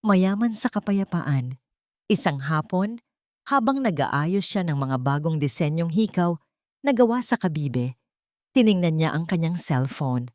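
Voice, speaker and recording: A woman's voice: deep voice, animated, unhurried, a little mellow, dry recording, clean studio sound. A woman reading scripted, dramatic narration as a storyteller.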